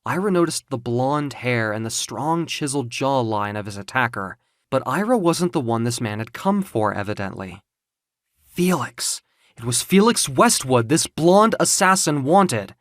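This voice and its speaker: A man's voice: medium pitch, sing-song, unhurried, dry recording, clean studio sound. A man narrating a scripted story in a theatrical, suspenseful way.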